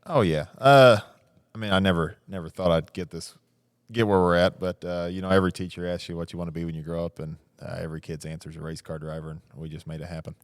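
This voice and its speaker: A man's voice: low-pitched, steady tone, fast, clear, resonant tone, no room sound, studio quality. A young man, a race car driver, answering interview questions in a laid-back, hesitant way with many fillers.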